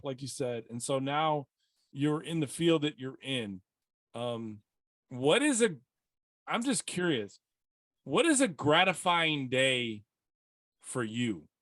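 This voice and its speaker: A man's voice: fairly high pitch, a bit bright, no echo, studio quality. A man from South Texas hosting a casual interview podcast, chatty and joking, with many fillers. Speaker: podcast host.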